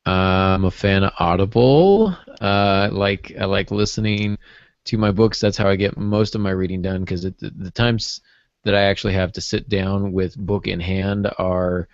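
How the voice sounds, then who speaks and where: Male co-host, deep voice, hesitant conversational delivery, very dry, slightly dull recording. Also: normal speed, no echo. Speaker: podcast co-host, writer. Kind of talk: casual podcast discussion.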